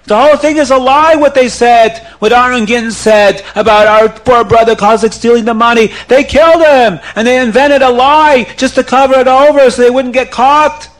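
Man putting on furious brothers, shrill, loud, accusing, storybook telling. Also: a little slow, low-quality recording. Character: two furious grown brothers accusing a crowd.

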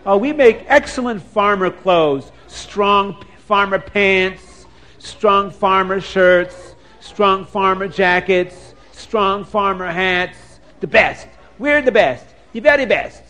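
A man's voice: a little high, moderate pace, lightly acted. A man playing a polite, eager travelling tailor, earnest and a bit hesitant, in a children's story. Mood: proud, eager. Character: polite, eager male travelling tailor, boastful about work.

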